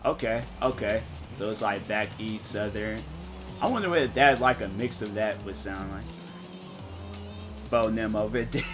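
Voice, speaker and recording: A man streaming a game and chatting casually, rambling and thinking out loud with lots of fillers. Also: fairly low pitch, moderate pace, over music. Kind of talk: casual live-stream chat.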